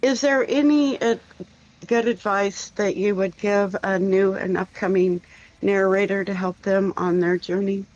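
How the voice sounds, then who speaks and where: A woman's voice: medium pitch, fairly even intonation, unhurried, darkish tone. Joking woman co-host, muffled audio. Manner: joking, enthusiastic. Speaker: podcast co-host. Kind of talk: casual chat, interviewing guest.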